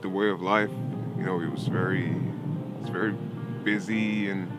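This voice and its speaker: Man from Nigeria, very low voice, casual testimonial, heavy music bed. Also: normal speed. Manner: relaxed, with many fillers and repeats. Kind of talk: interview testimonial. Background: loud music.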